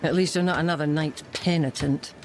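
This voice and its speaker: An actress playing a hardened village guardian in a dark fantasy game, dry and world-weary. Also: female voice, sits low, steady tone, brisk, soft background music, lightly acted. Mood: wry. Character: hardened, wry woman warrior guarding a village.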